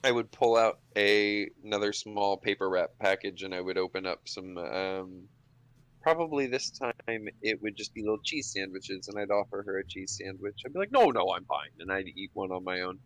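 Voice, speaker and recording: A man plays a tabletop role-playing game with a fairly low voice. He improvises his actions and dialogue. Music plays behind him. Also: animated, moderate pace, fairly bright tone, low-quality recording. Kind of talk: improvised in-character game play.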